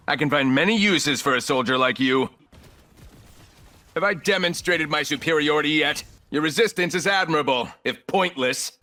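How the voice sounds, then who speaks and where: Imperious, sharp-voiced corporate villain. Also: male voice, fairly high pitch. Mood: smug, commanding. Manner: imperious, clipped, condescending. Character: arrogant, ruthless corporate villain operator, cold and calculating man. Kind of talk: video game character voice lines.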